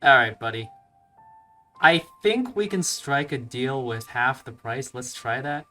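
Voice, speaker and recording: Male let's player: mid-pitched, bright tone, casual slangy think-aloud delivery, light music in the background. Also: measured pace. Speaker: let's play host. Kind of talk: casual game commentary.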